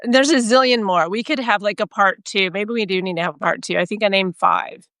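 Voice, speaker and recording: A woman with a Texas accent chats in a lively, self-deprecating way, poking fun at herself as she talks. Her voice sits mid-range and sounds close and dry, with no room around it. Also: mid-range voice, fairly quick, no room sound. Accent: Texan. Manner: chatty, self-mocking, animated storytelling. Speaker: podcast co-host. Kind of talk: casual chat.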